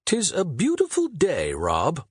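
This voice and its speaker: A man voicing a theatrical poet in his forties, who declaims his lines slowly and loftily with a husky voice. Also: a little high, wildly swooping pitch, no echo, studio quality, voice pushed up, full character voice. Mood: musing, poetic. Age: 40s. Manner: drawn-out, declaiming, slow and lofty. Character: theatrical poet, 41-year-old man, grandly wordy.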